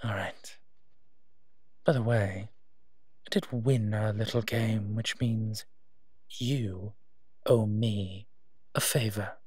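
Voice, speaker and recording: Casting: male actor for kindly monster protector, teasing and soft-spoken, slow delivery, dry intimate audio-drama sound. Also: fairly low pitch, fairly even intonation, dry recording. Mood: reassuring, then playful, teasing. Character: gentle, teasing male monster protecting children, detective's partner. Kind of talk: intimate audio roleplay addressed to the listener.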